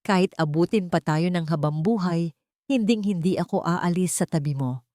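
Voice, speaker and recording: A woman voicing a serious, devoted man, a lawyer, in a measured storytelling delivery. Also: on the low side, mellow, dry recording, studio quality, lightly acted. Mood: tender, solemn. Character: devoted, patient male lawyer in love, grave and firm.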